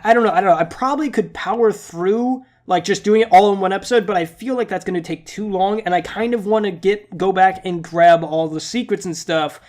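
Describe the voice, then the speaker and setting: A young man hosting a gaming channel update vlog, talking casually in a rambling, playful, self-deprecating way, his voice slightly scratchy and nasal from congestion. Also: high voice. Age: young adult.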